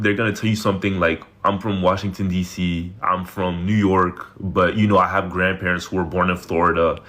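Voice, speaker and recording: A man's voice: low voice, fairly bright tone. A man who lives in France, talking through a casual commentary in a conversational, hesitant way with lots of fillers. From living in France. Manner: conversational, hesitant, many likes and you knows.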